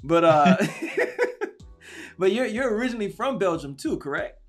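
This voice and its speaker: A man's voice: sits high, animated, measured pace, faint music behind. Hyped, chatty man hosting a talk show. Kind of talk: casual interview chat.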